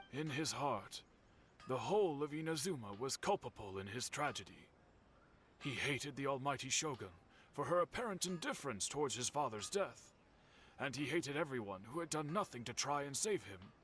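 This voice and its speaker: A solemn storyteller in a video game, formal and courteous. His voice is mid-range and measured, with faint ambient noise behind it. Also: medium pitch, a bit bright. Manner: grave, formal, measured phrasing. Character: solemn, courteous storyteller recounting old family tragedy.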